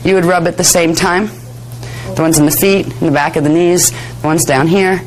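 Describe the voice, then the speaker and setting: Hoarse woman teaching, chatty and laughing. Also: fairly low pitch, fairly even intonation, normal speed, low-quality recording. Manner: chatty, laughs often, digresses. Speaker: spiritual teacher. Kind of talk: casual workshop lecture. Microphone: handheld microphone near face.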